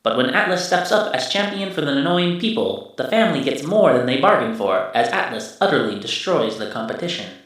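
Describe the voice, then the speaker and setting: A man's voice: on the high side, lively intonation, a bit bright. A man voicing a machine memory system that formally recites past events in a tabletop space game. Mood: formal, detached. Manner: formal, measured recap narration. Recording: distant, heavily echoing processed voice.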